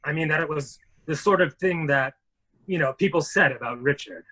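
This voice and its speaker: A man's voice: fairly high pitch, moderate pace. A man playing a genteel, blustering army major with formal, hedging speech in a murder mystery reading. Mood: evasive, blustering. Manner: formal, hedging, old-fashioned gentlemanly phrasing. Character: blustering, genteel military major running for Congress.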